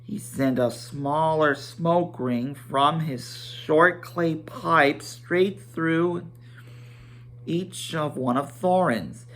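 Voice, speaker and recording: A man giving a dramatic storybook reading, halting at times and correcting himself as he narrates. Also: medium pitch, fairly bright tone. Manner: halting, stumbling over words and correcting himself.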